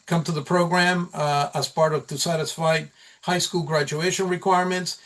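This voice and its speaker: A man giving an informal slide presentation as a college program instructor at a meeting, speaking haltingly with repeated and restarted phrases. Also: on the high side, level tone, fairly bright tone.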